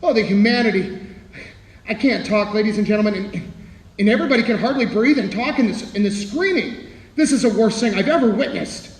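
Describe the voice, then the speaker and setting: A man's voice: high-pitched, brisk, close to an everyday voice. A man playing a distraught radio reporter at a disaster, stammering and repeating words as they report live. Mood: overwhelmed, despairing. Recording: echoey hall with long reverb.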